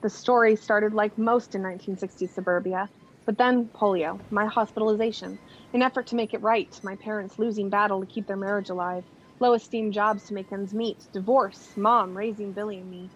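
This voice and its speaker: Actress as a reflective woman of thirty-nine, radio play narration, muffled recording, faint rumble. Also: steady tone, a little fast, close to an everyday voice. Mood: somber, reflective. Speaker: actor in a college radio play. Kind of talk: scripted radio drama narration.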